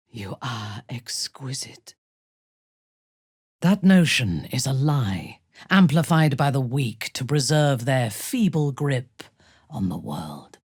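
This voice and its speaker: Husky, imperious drow warrior. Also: female voice, a bass voice, a little mellow, no room sound, studio quality, full character voice. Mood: intimate. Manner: cold, haughty, deliberate, commanding. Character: menacing, imperious female drow paladin warrior, devout and ruthless. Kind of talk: video game character acting.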